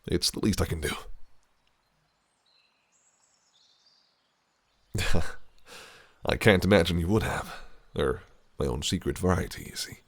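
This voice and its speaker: A man's voice: deep voice, expressive, normal speed. A man voicing a possessive, cunning fae prince in an audio roleplay, speaking in a silky, teasing, menacing way. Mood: charming. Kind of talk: listener-directed audio roleplay.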